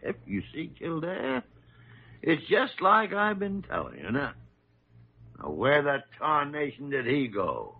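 A crusty old American doctor from vintage radio drama. He grumbles and blusters in a gravelly voice that swings up and down with folksy irritation. The sound is thin and tinny, like an old broadcast. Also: on the high side, huge pitch swings, unhurried, exaggerated voice. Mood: sly, needling. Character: crusty, irascible, meddlesome old male senior doctor.

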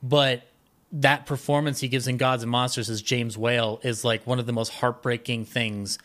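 A man's voice: medium pitch, flat delivery, moderate pace, a bit bright. Rambling, enthusiastic American man. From Colorado, United States. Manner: rambling, enthusiastic film-buff talk. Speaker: podcast guest. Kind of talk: casual interview chat about films and his life.

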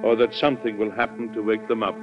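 A man's voice: fairly low pitch, level tone, quick pace, natural voice. A man playing a weary, firm president. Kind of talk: 1940s radio acting. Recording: muffled old radio recording with music.

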